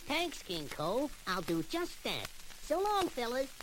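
A cheeky wisecracking cartoon rabbit. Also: female voice, sits high, wildly swooping pitch, a little slow, a little hiss or hum, exaggerated voice, low-quality recording. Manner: brash, teasing, playful. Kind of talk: children's radio story record. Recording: old record with music behind.

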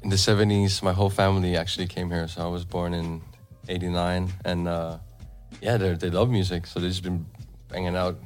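A man in his thirties, raised in Denmark in a Filipino family, talking casually about music on a radio show, relaxed and laughing often. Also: low voice, a little fast. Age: 30s. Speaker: DJ and event promoter.

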